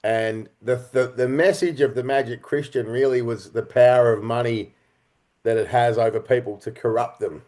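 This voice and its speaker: A middle-aged man speaking as an interview guest, telling stories in a reflective, halting and earnest way. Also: mid-range voice, fairly even intonation, darkish tone. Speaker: interview guest, writer, director and performer.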